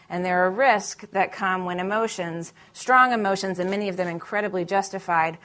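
A woman speaking as a panelist in a discussion, measured and analytical, with frequent fillers and restarts. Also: lowish voice, fairly bright tone.

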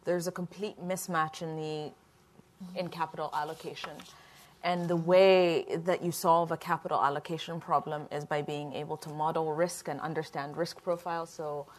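A middle-aged woman from Ethiopia, a company founder answering questions on a panel in a relaxed, reflective way. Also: low voice, normal speed.

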